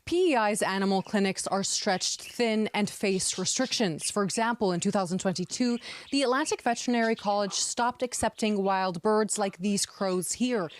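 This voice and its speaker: A woman reads a scripted news report. Her pitch sits in the middle range, and there is clear noise behind her voice. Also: moderate pace.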